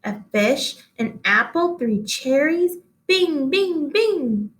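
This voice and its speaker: A woman with a fairly high voice narrates a children's book. Her read-aloud style is expressive, aimed at young listeners. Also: takes it slowly.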